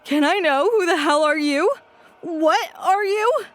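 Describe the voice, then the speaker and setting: A woman voicing a young woman in a fan audio drama, shrill and emotional. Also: unhurried, a bit bright. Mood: frightened. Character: young woman, frightened and furious.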